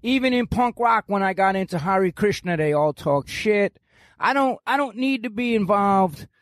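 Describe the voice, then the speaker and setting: A man in his sixties, a podcast guest, talking loudly and ranting with heavy swearing. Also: high-pitched, lively intonation, moderate pace, dry recording, clean studio sound. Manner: loud, profane, ranting. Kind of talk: heated podcast conversation.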